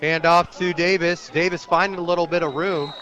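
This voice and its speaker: A man's voice: fairly high pitch, little pitch movement, fairly quick, pushed and bright, highs cut off, a faint crowd. An American man doing live radio play-by-play of a football game outdoors, describing the action as it unfolds. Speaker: play-by-play sports announcer.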